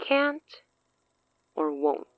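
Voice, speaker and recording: A woman's voice: sits low, big pitch swings, drawn-out words, no echo, dull audio, exaggerated voice. A woman playing a smug, menacing sorceress in an audio drama, theatrical and taunting with amused condescension. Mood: taunting amusement.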